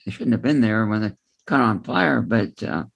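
A man chatting in a group discussion, halting and repeating words as he searches for the next one. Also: lowish voice, vocal fry, a bit bright, breathy edge, dry recording. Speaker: book club participant.

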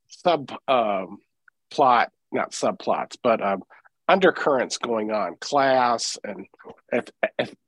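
A man giving his opinions in a casual group discussion, halting and thoughtful, often correcting himself as he goes. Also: mid-range voice, a bit bright, dry recording, poor audio. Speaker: book group participant.

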